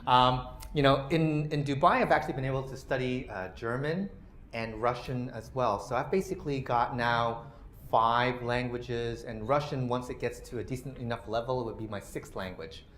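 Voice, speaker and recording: A man's voice: mid-range voice, fairly even intonation, normal speed, a bit bright. A man in his forties giving a lecture presentation, talking loosely with many "you know" and "uh" fillers. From Canada. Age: 40s. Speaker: guest speaker. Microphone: microphone. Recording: roomy lecture hall sound.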